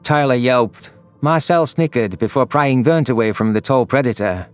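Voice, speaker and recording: A man's voice: medium pitch, moderate pace, smooth. A man reading scripted first-person narration for a science fiction audio drama. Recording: music under the voice.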